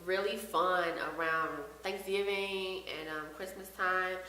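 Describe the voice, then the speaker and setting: A woman's voice: medium pitch, fairly even intonation, unhurried, sharp tone, reverberant, a little hiss or hum, rough recording. A woman from Chicago's South Side with a nasal voice who answers interview questions casually, with hesitant fillers. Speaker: baker, business owner.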